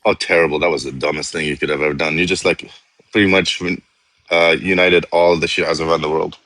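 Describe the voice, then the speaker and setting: A man's voice: rumbling low, steady tone, fairly quick, a bit bright, dry recording. A man from Iraq arguing politics as a guest on a live stream, talking over the host and laughing mid-sentence. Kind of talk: heated political debate.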